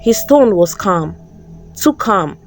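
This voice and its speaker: A woman's voice: mid-range voice, lively intonation, slow, gravelly. A woman storyteller giving a scripted, dramatic narration of a folktale. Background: background music.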